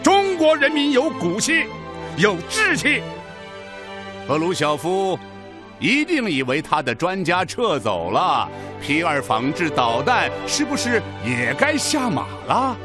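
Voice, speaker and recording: A resolute leader addressing his missile institute in a radio drama. The voice is high and hugely varied in pitch, emphatic and declamatory, with music behind it. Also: huge pitch swings, takes it slowly, heavily acted. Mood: resolute, rousing.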